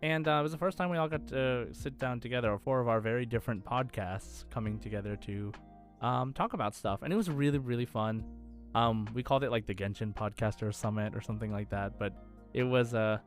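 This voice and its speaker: A man's voice: medium pitch, a little fast, faint music behind. A man hosting a podcast in a casual, chatty style with an upbeat, enthusiastic manner. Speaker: podcast host.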